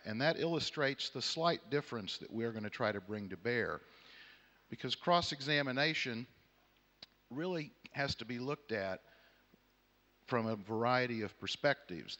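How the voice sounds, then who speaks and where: A middle-aged man from Texas with a Southern American accent moderates a conference panel, addressing the audience in a measured, courtly, wry way. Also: medium pitch, bright, forceful tone. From Houston, Texas, United States. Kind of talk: conference opening remarks to an audience. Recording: echoey hall with long reverb.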